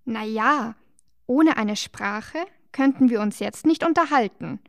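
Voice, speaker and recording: Woman, children's co-host, cheerful explainer, slightly high pitch, dry sound. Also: a little slow, vocal fry, no room sound. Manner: bright, explaining, gently teasing. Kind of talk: scripted children's educational dialogue.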